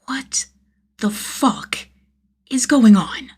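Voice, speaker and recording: A woman's voice: fairly high pitch, expressive, extremely slow, a little mellow, slightly rough, pitched above the performer's own voice, full character voice. A woman voicing a battered, bewildered man in an acted story, desperate and whiny as he exclaims in pain.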